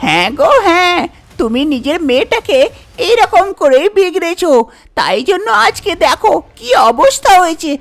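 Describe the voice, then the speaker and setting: A woman's voice: extremely high pitch, sing-song, moderate pace, pitched above the performer's own voice, heavily acted. A woman voicing an exasperated, fussing middle-aged mother in a cartoon story. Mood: scolding. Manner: scolding, fussy. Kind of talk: cartoon folk tale character voice.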